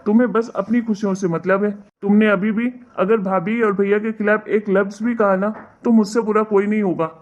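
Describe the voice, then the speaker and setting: A woman voicing an exasperated, reproachful young husband in an animated family drama, lecturing and scolding. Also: medium pitch, a little fast, hardly any pauses, natural voice.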